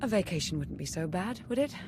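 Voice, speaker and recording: Military ally, game dialogue, slightly low, composed, businesslike, wry, faint noise. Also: female voice, big pitch swings, quick pace. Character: composed, dryly witty female military ally. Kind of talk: video game cutscene acting.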